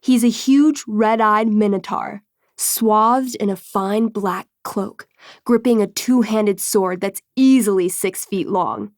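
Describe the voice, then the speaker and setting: A woman voicing a friendly, theatrical teenage girl who runs a tabletop fantasy game, expressive and wry, in a video game. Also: medium pitch, slow, no room sound, clean studio sound. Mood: dramatic, suspenseful. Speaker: game master. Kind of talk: dramatic tabletop storytelling and casual chat.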